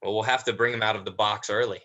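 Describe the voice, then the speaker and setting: An actor playing a protective, tender older brother in a vampire family, in a dramatized play reading with halting, repeated words. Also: male voice, on the low side, steady tone, pushed and bright, muffled recording. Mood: weary, matter-of-fact. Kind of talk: dramatized livestream script reading.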